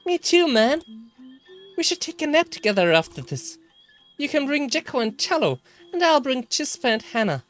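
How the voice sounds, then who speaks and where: Woman putting on a high, excitable young cousin. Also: normal speed, soft background music. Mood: warm, playful. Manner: slangy, exuberant, teasing. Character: excitable, affectionate cousin, a young bro-talking birthday girl. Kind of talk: acted visual novel dialogue.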